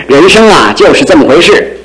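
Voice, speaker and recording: An actor playing a boisterous, carefree young bachelor with a teasing, chuckling, theatrical manner in a radio drama. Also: male voice, on the high side, a bit bright. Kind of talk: Mandarin radio drama acting. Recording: faintly noisy old radio broadcast.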